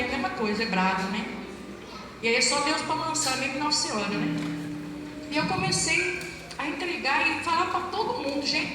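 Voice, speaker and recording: A middle-aged woman with a Brazilian Portuguese accent telling her church testimony to an audience, a lively storyteller who acts out dialogue and asks the crowd questions. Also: sits high. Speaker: former pastor giving a testimony. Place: large hall. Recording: echoey hall from far off with music. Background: music.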